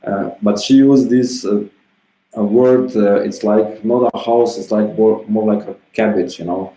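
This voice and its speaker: Low mellow guy rambling casually, motivational vlog vibe, echoey room. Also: steady tone, a little slow. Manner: meandering, informal, leans on filler phrases. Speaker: self-help vlogger. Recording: roomy echo.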